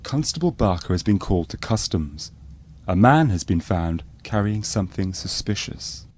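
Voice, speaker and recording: A low, mellow male voice narrates a spoof documentary with lively intonation. He plays it earnest and scripted, like docu-soap narration. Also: normal speed.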